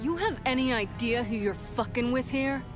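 A woman's voice: on the high side, animated, moderate pace, close to an everyday voice. An actress playing a brash, defiant young female gang member in a video game cutscene, confrontational and foul-mouthed. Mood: indignant. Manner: profane, confrontational. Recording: music behind the voice.

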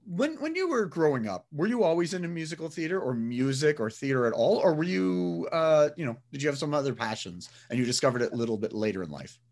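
Male radio host, animated pitch, chatty and curious with guests. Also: fast, few pauses. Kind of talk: interview hosting.

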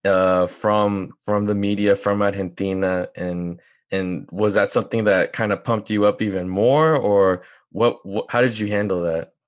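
Male host, thirties, low voice, casual headset questions. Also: fairly even intonation, unhurried. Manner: friendly, joking with the guest. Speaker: podcast host. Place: home room.

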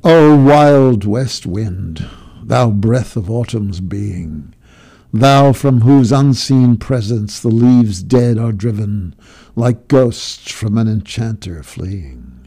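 Slow, dark-voiced man reciting poetry. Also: lowish voice, expressive, slightly rough. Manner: slow, grave and declamatory, swelling and falling to a hush. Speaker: poetry reader.

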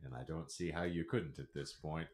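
A man's voice: a bass voice, fairly even intonation, normal speed, a little mellow, roomy sound. A man hosting a free-form comedy podcast, chatting jokily with mock-grandiose phrasing. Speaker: podcast host. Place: small indoor room with couch. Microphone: podcast microphone on boom arm.

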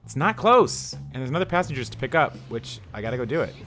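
A man giving casual, excitable let's-play commentary while he drives in a game, thinking aloud. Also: medium pitch, fast. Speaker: gaming YouTuber. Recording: game music behind.

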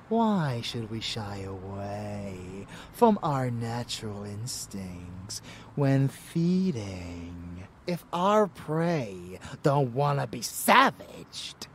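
Man acting a low, slow-drawling vampire lover. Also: a little hiss or hum, heavily acted. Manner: intimate, drawling, often dropping to whispers. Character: rebellious murderous male vampire lover, doting yet bloodthirsty. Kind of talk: ASMR audio roleplay.

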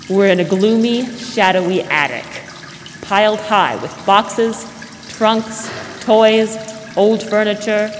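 Young woman, radio drama narrator, slow pace, large reverberant hall. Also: medium pitch. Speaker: narrator, announcer. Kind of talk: scripted 1940s-style radio drama narration. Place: large theatre stage, indoors. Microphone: stand microphone. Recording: reverberant stage hall, faint noise.

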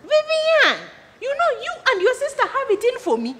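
A woman's voice: very high-pitched, sing-song, a little fast, fairly bright tone, slight background noise, heavily acted. A woman playing a wary, indignant older sister in a drama, protesting and repeating herself for emphasis.

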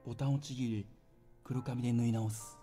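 A man's voice: medium pitch, steady tone, brisk, warm tone, faint music behind, voice pushed down, lightly acted. Man as subdued teenage boy narrator. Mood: subdued, uneasy. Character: uneasy teenage boy narrating his own story. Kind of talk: scripted first-person narration.

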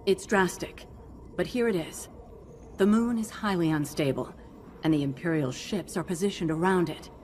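A woman playing a grave, resolute alien general who briefs pilots in a measured, commanding way in a video game cutscene. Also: lowish voice, a little mellow, faint music behind, lightly acted. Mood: urgent, resolute.

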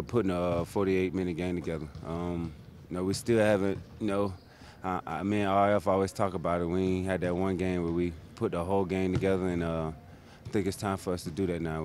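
A young man, a pro basketball player, answering reporters in a halting, laid-back way with many fillers, in an empty arena. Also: sits low, darkish tone, slight background noise. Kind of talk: media scrum answers. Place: empty arena seats, indoors. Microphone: handheld reporter mics held close.

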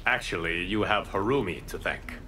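A wise, calm male guardian in a fighting game. His voice is fairly low yet crisp and bright, formally phrased, with soft music behind. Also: normal speed. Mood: calm, approving. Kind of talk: scripted video game dialogue.

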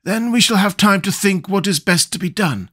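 A man's voice: sits high, lively intonation, normal speed, pushed and bright, breathy edge, pitched above the performer's own voice, full character voice. A man voicing a thoughtful, mild-mannered living scarecrow in a children's storybook. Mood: thoughtful, quiet.

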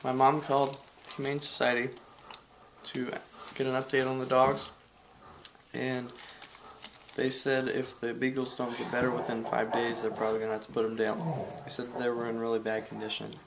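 A man in his twenties chatting casually at home in a relaxed, teasing way. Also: medium pitch, normal speed, gravelly, pauses often. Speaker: boyfriend, vlog regular. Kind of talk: casual chat. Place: home kitchen. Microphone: handheld camera. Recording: noisy handheld camera audio.

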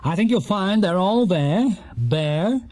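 A man playing a smug male quiz show master of ceremonies in a children's storybook, with a drawn-out showman's delivery. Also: sits high, expressive, a little mellow, dry recording, pitched above the performer's own voice. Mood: stiff.

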